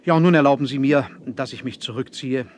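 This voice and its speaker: A man acting as a mysterious, proud submarine captain in a vintage radio play. He speaks at a middle pitch, with formal courtesy and complete self-assurance. The old vinyl transfer carries clear noise. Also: a little fast, close to an everyday voice. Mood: calm, formal. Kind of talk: 1970s German adventure radio play acting.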